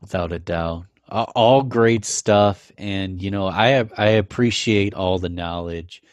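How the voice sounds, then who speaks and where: Low-voiced male host, dry close sound. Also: takes it slowly, no echo, dull audio. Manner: friendly, encouraging, some stumbles. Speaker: podcast host. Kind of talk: interview questions.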